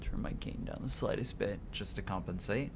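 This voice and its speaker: A man's voice: fairly low pitch, moderate pace, background noise. A man streaming a game, reading text aloud and muttering asides to himself as he plays. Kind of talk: live playthrough commentary, reading game dialogue aloud.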